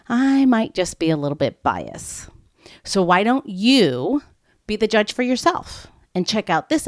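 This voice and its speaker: A woman podcast host speaks directly to the listener in a playful, teasing way. Her voice sits a little low and swings expressively, recorded very dry. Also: no room sound. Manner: playful, teasing, drawn-out emphasis.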